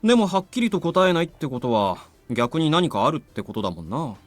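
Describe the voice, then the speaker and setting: Rapid, breezy high-school boy. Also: big pitch swings, dry recording. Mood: casual, curious. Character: breezy, grumbling teenage schoolboy best friend. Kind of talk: Japanese visual novel voice acting.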